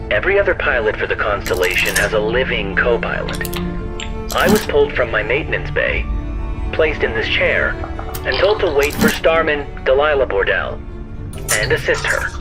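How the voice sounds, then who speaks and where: A man's voice: mid-range voice, big pitch swings, moderate pace, pauses often, natural voice. Man acting a formal, fussy robot co-pilot over music. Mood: puzzled, formal. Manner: stiff, formal, precise wording. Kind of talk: sci-fi audio drama acting.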